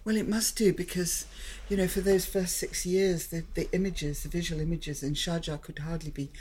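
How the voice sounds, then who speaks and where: A woman interviewer with a very low voice that has a husky grain. She asks her questions in a sympathetic, chatty way and often trails into follow-up thoughts. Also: fairly even intonation, normal speed, darkish tone.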